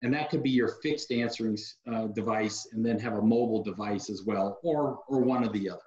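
A man in his forties, a company guest on a video call interview, explaining security products in a relaxed, practical way with frequent fillers. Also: fairly low pitch, a little fast. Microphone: video call webcam mic. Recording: echoey room over a dull video call.

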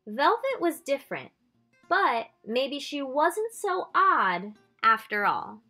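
A woman reading a children's picture book aloud expressively, drawing out key words with deliberate emphasis. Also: high-pitched, sing-song, pushed and bright, faint music behind. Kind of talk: expressive storybook read-aloud.

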